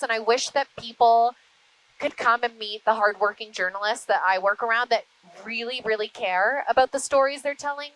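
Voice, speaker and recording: A woman who works in broadcasting, answering interview questions conversationally in an animated storytelling way. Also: a little high, poor audio. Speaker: interview guest, media broadcaster.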